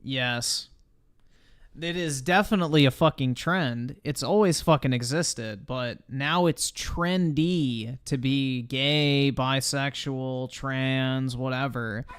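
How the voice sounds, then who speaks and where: A man's voice: medium pitch, slow, fairly bright tone, clean tone. A man livestreaming casual reaction commentary in a blunt, profane manner.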